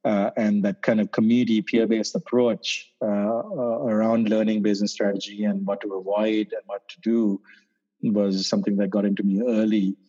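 A man from Sri Lanka explains his startup programs in a relaxed, mellow voice that sits low. He hesitates often, filling gaps with uhs and restarting phrases. The recording sounds muffled and lo-fi. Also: moderate pace. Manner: hesitant, many fillers and restarts. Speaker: startup accelerator founder, guest. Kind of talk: casual interview answers. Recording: dull lo-fi sound.

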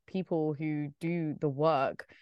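A woman's voice: sits low, steady tone, takes it slowly, smooth, dry recording, dull audio. A woman podcast host who grew up in the UK with a South Indian upbringing, talking with an enthusiastic, affirming manner. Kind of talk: casual interview conversation.

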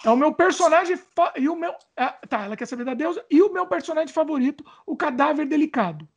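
A woman's voice: sits high, expressive, fast talker. A woman with a Brazilian accent hosting a casual chat and reading viewer questions, stumbling over words and joking about her age. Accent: Brazilian Portuguese.

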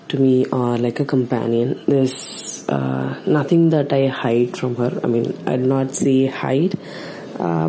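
A woman's voice: a bass voice, vocal fry, dark tone, a little hiss or hum, low-quality recording. A woman from India whose first language is Tamil, speaking in an earnest, hesitant way with many fillers. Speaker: podcast guest. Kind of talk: casual recorded message.

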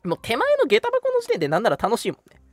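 A man's voice: very high-pitched, huge pitch swings, very fast, no room sound. A young man hosting a radio show in a casual, agreeable chat. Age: young adult. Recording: music playing behind the voice. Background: background music.

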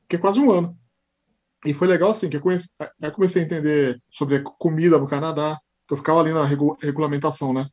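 Give me a lively Paulista man with a husky voice and a rapid pace, chatting as a guest on a podcast. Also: fairly high pitch. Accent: São Paulo Portuguese. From Brazil. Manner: animated storyteller, acts out quoted dialogue. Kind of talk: casual interview chat.

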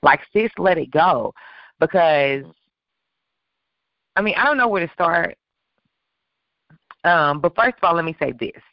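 A hoarse woman co-hosting a podcast, talking in an emphatic, opinionated, sassy way. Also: fairly low pitch, lively intonation, a little slow, long pauses, dry recording. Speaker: podcast co-host. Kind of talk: casual podcast talk. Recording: dull lo-fi sound.

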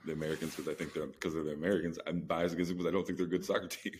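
A man's voice: low voice, flat delivery, rapid-fire, a little mellow. A man co-hosting a podcast, arguing sports opinions in a casual, slangy way, with some noise on the recording. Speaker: podcast co-host.

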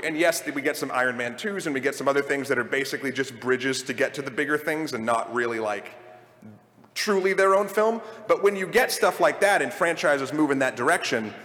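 Higher-voiced man rambling in an echoey hall. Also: expressive, a little fast, bright, forceful tone. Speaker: panel host leading a discussion of video game movies. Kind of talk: live panel discussion, conversational. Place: large room at a convention. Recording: echoey hall, live panel recording.

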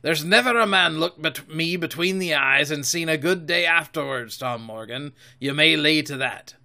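Man acting out a loud, domineering pirate captain. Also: fairly high pitch, moderate pace, pitched above the performer's own voice. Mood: menacing. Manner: blustering, commanding, rough seafaring dialect. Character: domineering older pirate captain, menacing sea cook. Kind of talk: audiobook character voice.

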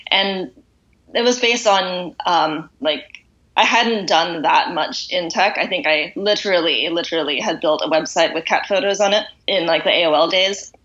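Low-voiced woman on a dull line. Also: normal speed. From Singapore. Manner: relaxed, thoughtful, many fillers. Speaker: guest. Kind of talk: casual interview.

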